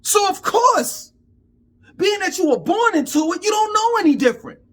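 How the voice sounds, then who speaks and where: A man's voice: very high-pitched, big pitch swings, normal speed. A man in his fifties giving an emphatic, profane, preachy rant to camera in street talk. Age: 50s. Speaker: vlogger.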